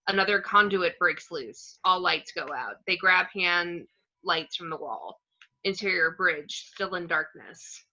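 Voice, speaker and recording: A woman narrates stage directions from a script in a scene-setting read. Her voice sits fairly low for a woman but is strikingly bright and crisp-edged. The recording is somewhat dull and lo-fi. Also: lively intonation, a little slow. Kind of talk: scripted screenplay narration at an amateur table read.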